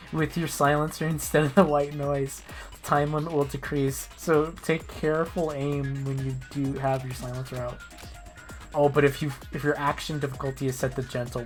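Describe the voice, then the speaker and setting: A woman with a low voice streaming a game. She is casual, jokey and sweary, stumbling through text she reads out, with faint music behind. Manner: joking, sweary, stumbles while reading.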